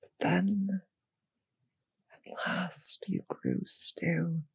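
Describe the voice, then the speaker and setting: Vampire mistress, played by a woman: very breathy, half-whispered, seductive and possessive, close dry ASMR delivery with some creak. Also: fairly low pitch, no room sound, full character voice. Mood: tender, hypnotic. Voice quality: breathy, often slipping into whispers. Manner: slow coaxing, teasing, commanding. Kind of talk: intimate ASMR audio roleplay. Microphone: close microphone, dry.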